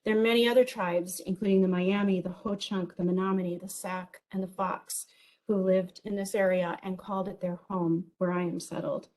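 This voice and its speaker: A woman with a big voice giving an enthusiastic virtual conference keynote, lively and peppered with ums and small jokes. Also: lowish voice, normal speed, rough recording. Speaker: keynote speaker.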